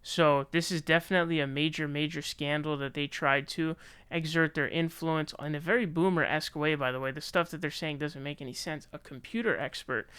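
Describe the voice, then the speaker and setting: A man's voice: a little high, fairly even intonation, fairly quick, sharp tone, smooth, no echo. An American man giving casual, opinionated news commentary in colloquial language, sometimes reading aloud.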